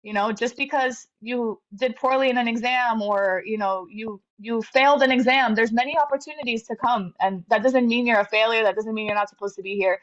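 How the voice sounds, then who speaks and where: Woman, 30s, earnest, reflective, halting fillers, muffled lo-fi sound. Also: fairly high pitch, fairly even intonation. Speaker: interview guest, medical graduate. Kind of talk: conversational storytelling interview. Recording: slightly dull lo-fi audio.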